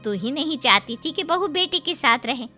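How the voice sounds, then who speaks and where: A woman's voice: high-pitched, expressive, moderate pace, pushed and bright. A woman voicing a cunning, gossiping older friend of the mother-in-law in a cartoon, sly and mocking. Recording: faint background music.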